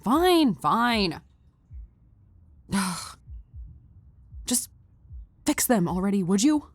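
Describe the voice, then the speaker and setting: A woman's voice: mid-range voice, very animated, drawn-out words, dry recording, heavily acted. A woman voicing a tough, brash young female brawler who talks bluntly and teases. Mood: teasing. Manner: teasing, blunt, cocky. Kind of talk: fan audio roleplay.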